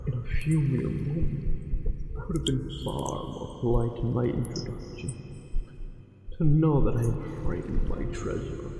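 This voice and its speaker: A man's voice: mid-range voice, unhurried, vocal fry, warm tone, a little hiss or hum. An actor playing a smitten angler merman in an ASMR roleplay, speaking formally and hesitantly with ornate, tender courtesy. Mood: apologetic, hurt. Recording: cavernous echo with long reverb, music behind. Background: music.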